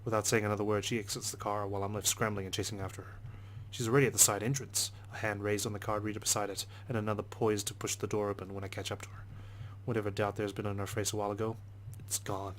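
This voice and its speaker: A man's voice: lowish voice, little pitch movement, fast, slight background noise, poor audio. A man reading visual novel narration aloud as a let's player.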